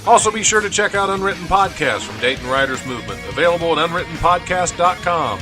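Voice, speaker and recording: A man's voice: a little high, lively intonation, fast, over loud music. An American man hosting a radio show with casual, rambling, self-deprecating comedy talk. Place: studio.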